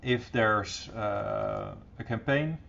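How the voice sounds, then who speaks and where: Gravelly man giving a software walkthrough. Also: lowish voice, wildly swooping pitch, extremely slow. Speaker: software tutorial presenter. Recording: dull, slightly noisy computer recording.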